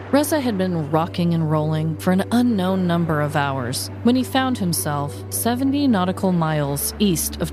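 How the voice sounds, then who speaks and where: A woman podcast host reading a scripted true-crime story in a playful, dramatic way. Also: sits low.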